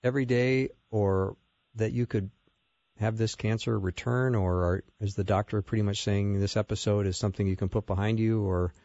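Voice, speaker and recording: A man co-hosting talk radio, teasing his partner with quick deadpan jabs. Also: on the low side, no room sound, muffled recording. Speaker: radio co-host.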